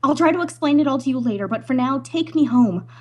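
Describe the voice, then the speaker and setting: A woman plays a young heroine of a radio melodrama, torn and anguished. Her voice is high and husky, and she pleads in breathless phrases. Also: animated, fast. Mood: urgent pleading. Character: anguished young half-Hawaiian daughter, torn by love. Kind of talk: old-time radio melodrama acting.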